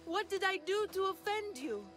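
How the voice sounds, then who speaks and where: An actress playing a frightened, meek young villager in a video game, pleading and apologetic. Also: female voice, extremely high pitch, lively intonation, normal speed, fairly bright tone. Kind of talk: video game cutscene acting.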